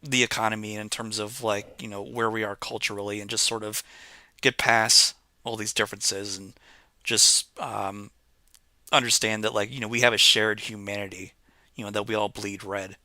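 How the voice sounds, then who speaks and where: A man's voice: fairly low pitch, level tone, normal speed, pushed and bright, dry recording. A man hosting a casual online chat, talking loosely with lots of filler words. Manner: rambling, filler-heavy with many "like"s. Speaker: podcast host.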